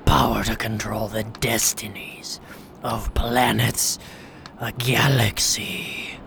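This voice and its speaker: A man playing a sinister alien agent in a radio drama, persuading in a slow, breathy, menacing whisper. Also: on the low side, steady tone, a bit bright, exaggerated voice. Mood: sinister, coaxing. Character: sinister alien agent offering power and conquest. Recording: noisy ambient hiss.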